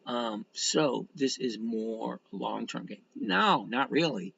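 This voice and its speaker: A man's voice: mid-range voice, lively intonation, slow, vocal fry, bright, forceful tone, lo-fi sound, slight background noise. A man of sixty chatting casually as a livestream host, reading out and answering comments in a blunt, folksy, rambling way with some mild swearing. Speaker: livestream host and stock trader.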